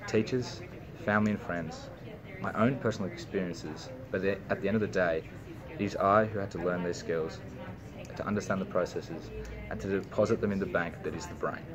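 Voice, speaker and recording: A man's voice: deep voice, moderate pace, music in the background, poor audio. A young Australian man, a university student, reading a prepared personal speech with small slips and restarts. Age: young adult.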